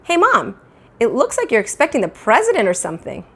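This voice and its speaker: Put-upon storybook schoolboy, read by a woman, wisecracking, protesting, raised lively pitch. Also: a little fast, vocal fry, husky, heavily acted. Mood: cheeky. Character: wisecracking, put-upon fourth-grade boy. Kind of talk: children's storybook read-aloud.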